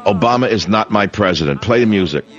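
A man's voice: lowish voice, lively intonation, fast talker, over loud music. Emphatic older male talk radio host. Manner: emphatic, repeats himself, many restarts. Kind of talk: opinionated talk radio monologue. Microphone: studio microphone. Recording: dull, dry studio broadcast sound.

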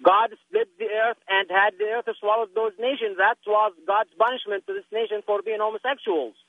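A man's voice: sits high, normal speed, no room sound. A man from Palestine, calling in to a radio show, earnest and insistent, often repeating himself. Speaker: caller. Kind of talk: phone-in call. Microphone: telephone. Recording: tinny phone line.

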